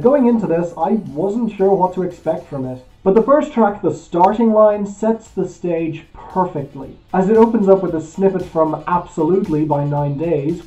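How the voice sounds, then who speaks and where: Mellow-voiced man hosting an album review. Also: high-pitched, dull audio. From Belgium. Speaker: music album reviewer, show host. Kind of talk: scripted review voice-over.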